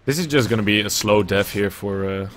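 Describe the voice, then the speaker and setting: A young Dutch-accented man calls a video game match on his own from home, his voice sitting low. He talks loosely and chattily, thinks aloud and swears now and then, with a faint hiss behind him. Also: fairly quick, fairly bright tone. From Netherlands. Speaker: esports caster. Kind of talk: solo play-by-play game commentary. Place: indoors at home. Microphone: earbuds and webcam at a desk.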